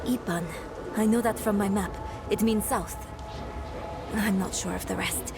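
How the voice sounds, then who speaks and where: A young woman playing an earnest, troubled traveler in a dramatic video game, soft-spoken and hesitant. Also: medium pitch, fairly even intonation, a little slow, gravelly, background noise. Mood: puzzled, thoughtful. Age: young adult. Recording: loud background music.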